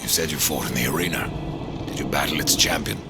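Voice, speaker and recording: Gravelly, abyss-deep grim rider of death. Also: male voice, fast. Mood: dry, sardonic. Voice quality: gravelly, rasping. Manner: dry, threatening, sardonic. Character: grim, sardonic male rider of death, menacing. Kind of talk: video game cutscene acting. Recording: loud cinematic music behind.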